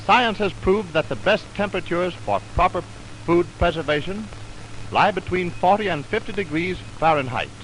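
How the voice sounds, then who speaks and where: An American man announcing a 1920s radio programme from a script, formal, measured and declamatory, on a scratchy old recording. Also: high voice, a bit bright. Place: radio studio.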